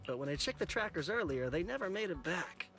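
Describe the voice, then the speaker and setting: An actor playing a defensive, shifty thief in a video game, protesting in slangy, flustered speech. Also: male voice, high-pitched, big pitch swings, fairly quick, bright, forceful tone, soft background music, full character voice. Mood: suspicious. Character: defensive, shifty male thief who steals military mechs. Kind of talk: video game story voice acting.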